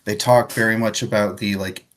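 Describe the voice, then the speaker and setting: A man's voice: lowish voice, fairly even intonation, moderate pace, fairly bright tone. A man hosting a casual livestream book discussion, searching for words as he goes. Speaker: show host, reads viewer comments.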